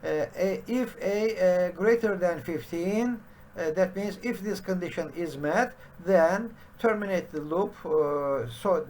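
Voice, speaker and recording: Brief: middle-aged man, very slow tutorial delivery, slightly high voice, constant fillers. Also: slight background noise. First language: Turkish. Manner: halting, many "uh" fillers. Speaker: programming teacher. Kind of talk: screen-share coding tutorial. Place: home study with bookshelves.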